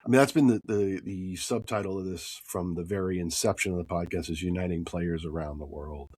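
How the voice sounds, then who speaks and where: A man hosting a podcast interview, husky from a cold, upbeat and tagging his points with "right?". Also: low voice, steady tone, brisk, a little mellow, no room sound, studio quality. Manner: upbeat, often adds "right?". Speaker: podcast host.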